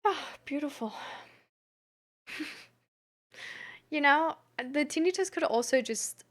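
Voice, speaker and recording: A woman chatting casually like a livestream host reading and answering messages, halting and giggly, with lots of "like" as filler. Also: high-pitched, lively intonation, a bit bright.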